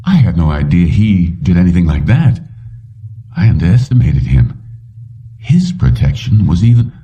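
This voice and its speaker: Man reading dark-voiced determined mechanic, muffled. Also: deep voice, big pitch swings, moderate pace. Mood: musing. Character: determined male mechanic, bargaining hard, defiant. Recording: muffled, distant-sounding dull recording.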